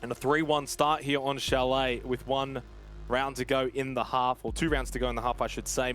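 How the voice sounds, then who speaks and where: A man's voice: mid-range voice, fairly bright tone. A man giving analytical live commentary on an esports match. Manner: analytical, measured play-by-play. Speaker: esports caster.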